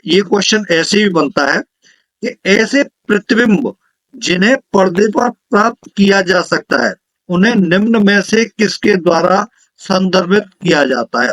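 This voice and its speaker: High-pitched man teaching. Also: a bit bright, no room sound, rough recording. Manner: instructive, repeats questions and answers. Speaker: physics teacher for exam preparation. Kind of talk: exam question-and-answer lesson.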